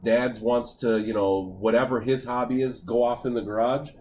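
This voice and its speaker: A middle-aged man with a deep voice, co-hosting a casual podcast chat, rambling and halting with many fillers and restarts. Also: fairly even intonation, a little mellow, slight background noise. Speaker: podcast co-host.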